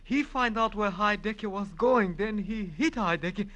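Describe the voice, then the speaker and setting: A man's voice: high voice, normal speed, a bit bright. A man playing a meek, apologetic houseservant in a 1940s radio drama, deferential and speaking broken English. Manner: broken English, deferential, refers to himself by name.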